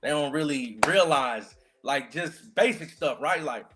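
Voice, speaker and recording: A man in his late thirties chatting casually and reflectively as co-host, in a slangy, relaxed way. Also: on the high side, a little slow, background noise. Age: late 30s. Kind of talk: casual chat.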